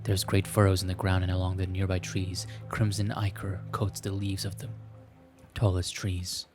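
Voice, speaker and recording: A man's voice: sits low, monotone, normal speed. A man hosting a game playthrough, reading a visual novel aloud with wry, self-deprecating casual commentary and a slightly scratchy throat. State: scratchy throat, frequent throat clearing. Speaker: let's play host. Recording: music playing behind the voice. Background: background music.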